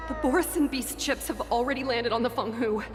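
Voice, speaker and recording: An actress playing a distressed battlefield aide in a video game, urgent and shaken, in a long reverberant echo. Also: female voice, fairly high pitch, a little fast, soft background music, natural voice. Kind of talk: dramatic video game acting.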